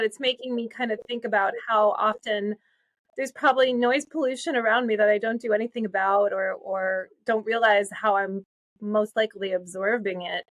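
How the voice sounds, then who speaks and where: A woman's voice: medium pitch, a bit bright, no room sound, low-quality recording. A woman co-hosting a podcast, introducing the guest with flowery, effusive phrasing and then chatting casually. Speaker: podcast co-host. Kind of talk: reading a show intro, then casual chat.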